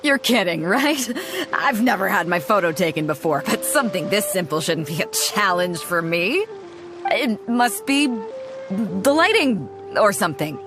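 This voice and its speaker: A bold, teasing sea captain. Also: female voice, medium pitch, expressive, unhurried, over music. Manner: teasing, self-assured, laughs easily. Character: bold female ship captain, teasing and confident. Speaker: ship captain. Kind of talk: game cutscene voice acting.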